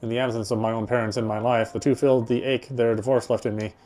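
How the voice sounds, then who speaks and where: A man reading first-person visual novel narration aloud in a soft, reflective, dramatic storytelling way. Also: medium pitch, little pitch movement, fast talker, heavy background noise. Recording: music behind the voice. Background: game music.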